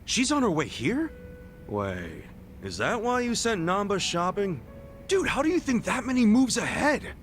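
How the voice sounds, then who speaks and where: Excitable, earnest guy, higher-pitched, expressive. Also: measured pace, faint music behind, full character voice. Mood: eager. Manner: eager, informal, expressive. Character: earnest, excitable, loyal male friend. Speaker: video game character. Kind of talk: dramatic game cutscene acting.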